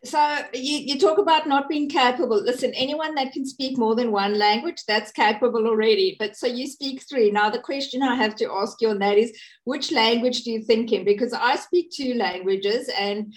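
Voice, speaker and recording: A woman's voice: fairly high pitch, moderate pace. A middle-aged woman with a South African accent, a native Afrikaans speaker, interviewing a guest with direct, encouraging questions. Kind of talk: conversational interview.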